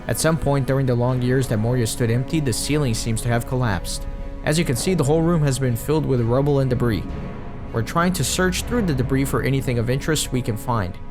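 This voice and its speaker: A man's voice: mid-range voice, fairly quick, music in the background, natural voice. Businesslike dwarf foreman read by a man. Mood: polite, businesslike. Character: polite, businesslike male dwarf excavation foreman. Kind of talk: reading game quest dialogue aloud.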